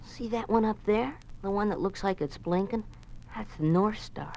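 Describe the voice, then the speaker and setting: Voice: mid-range voice, moderate pace, vocal fry, darkish tone, a little hiss or hum, natural voice. Someone acting an eager american schoolboy in a 50s radio show, polite and kinda naive. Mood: eager, wondering. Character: earnest, eager young schoolboy, a Boy Scout and obedient son. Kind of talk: 1950s radio drama acting.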